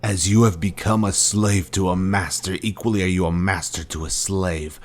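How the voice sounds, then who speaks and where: An actor playing a menacing fallen Jedi master in a video game, speaking in a solemn, pompous, formal way as a cold former mentor. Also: male voice, sits low, fairly even intonation, normal speed, lightly acted. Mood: cold, certain. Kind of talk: scripted video game villain dialogue.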